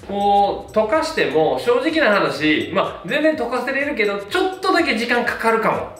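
A man in his thirties, a hairstylist, casually reviewing hair products with a relaxed, filler-heavy manner. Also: high-pitched, moderate pace, recorded from a distance. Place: small plain indoor studio. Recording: roomy sound, mic set back, faint music.

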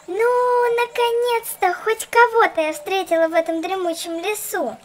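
A woman's voice: extremely high pitch, steady tone, a little slow. A woman voicing a sassy, skeptical little doll girl in a children's cartoon, with a sarcastic, exclamatory manner. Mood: wry, surprised.

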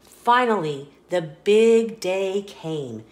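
A woman reading a children's picture book aloud in a playful, expressive storytelling voice, indoors. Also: medium pitch, extremely slow. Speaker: storybook reader. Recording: roomy echo.

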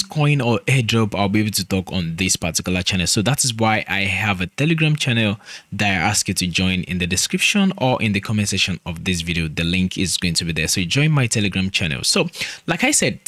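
A man's voice: lowish voice, fairly bright tone, dry recording. A young man hosting a casual crypto tutorial vlog from a small purple-lit room, chatty and often restarting sentences with "so". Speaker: crypto channel host. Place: small room with purple lighting and gaming chair. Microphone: studio mic on boom in front of face.

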